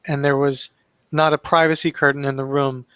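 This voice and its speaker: A man's voice: mid-range voice, level tone, normal speed, fairly bright tone, smooth, dry recording. Dry-voiced man reading citations casually. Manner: conversational, reads citations aloud with fillers. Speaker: webinar co-host. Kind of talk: casual training discussion.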